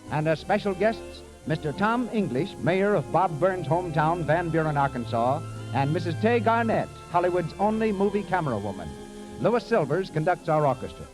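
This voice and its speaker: High-voiced 1930s radio announcer, a man. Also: low-quality recording. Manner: formal, courteous presentation. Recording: vintage 1930s radio broadcast. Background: music.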